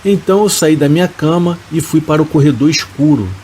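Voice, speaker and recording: A man calmly reading first-person horror stories in a steady, scripted narration. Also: on the high side, a little fast. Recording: faint rain and hiss behind the voice. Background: rain sounds.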